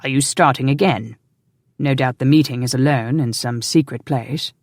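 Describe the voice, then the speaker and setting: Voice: medium pitch, moderate pace, no room sound, natural voice. A narrator voicing a protective, exasperated husband who is commanding and sardonic but loving. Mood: angry. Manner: stern, sardonic, insistent.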